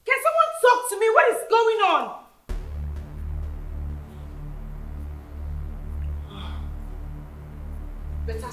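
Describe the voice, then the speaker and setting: A woman playing a jealous, hot-tempered young princess who shouts and scorns. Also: extremely high pitch, heavily acted. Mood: demanding. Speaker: actress in a comedy skit. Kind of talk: skit acting.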